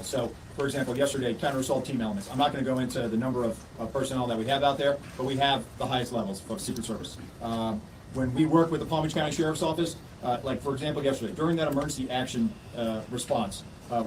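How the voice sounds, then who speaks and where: A man in an official role answers press questions at a quick pace. His phrasing is formal and emphatic, and he sounds away from the mic with clear noise behind him. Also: medium pitch. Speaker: Secret Service official. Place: press conference. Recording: noisy, distant press conference pickup.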